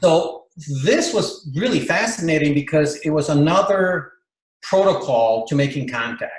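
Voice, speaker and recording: A man's voice: fairly high pitch, lively intonation, unhurried. Man telling a long story in a roomy space. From Bolivia. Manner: rambling, many fillers and restarts. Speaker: interview guest. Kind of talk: casual storytelling interview.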